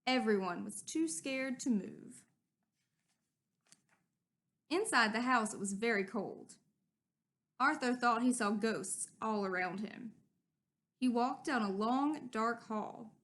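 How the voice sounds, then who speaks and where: A woman's voice: fairly high pitch, slow, long pauses, a bit bright. A young adult woman teacher reading a children's story aloud and then giving a patient, step-by-step drawing lesson. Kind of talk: read-aloud and art lesson.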